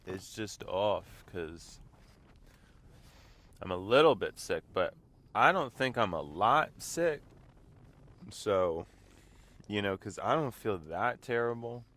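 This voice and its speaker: A man with a very deep, congested voice rambles slowly from the seat of a car. He keeps stopping to clear his throat, and his words come out in loose, meandering stretches. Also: animated, a bit bright. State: congested, slightly sick voice. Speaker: vlog host. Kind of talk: casual talk while driving. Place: inside a car.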